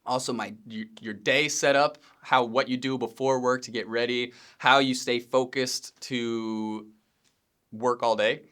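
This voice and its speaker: Smooth-voiced man hosting a podcast. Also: mid-range voice, measured pace. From Pennsylvania. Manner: relaxed, rambling, frequent ums and self-corrections, occasional laughs. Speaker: podcast host, video editor. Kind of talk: casual solo podcast intro. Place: home studio space.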